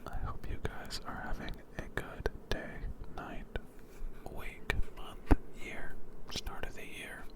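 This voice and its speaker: An ASMR creator at home, whispering softly in a meandering ramble, with a sore throat and a sniffly nose, into a handheld field recorder. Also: low-quality recording. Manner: soft, meandering, unhurried whisper. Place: home, indoors.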